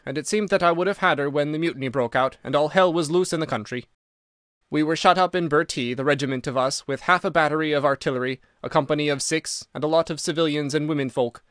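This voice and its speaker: A man's voice: a little high, a bit bright, clear, resonant tone, no room sound, studio quality, close to an everyday voice. A man voicing a bitter, broken old soldier. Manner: vehement, aggrieved storytelling. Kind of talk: audiobook character reading.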